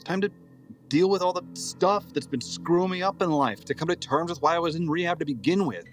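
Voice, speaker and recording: A man's voice: on the high side, brisk, faint music behind, close to an everyday voice. A man playing a bitter, sarcastic younger-generation brother. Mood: defensive, weary. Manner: sarcastic, defensive, blunt. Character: bitter, sarcastic recovering addict, resentful adult brother. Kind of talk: audio drama acting.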